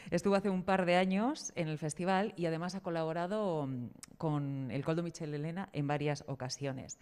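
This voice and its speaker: Low-voiced woman. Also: fairly even intonation, normal speed, a little mellow, smooth. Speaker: event presenter. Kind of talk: public introduction at a round table.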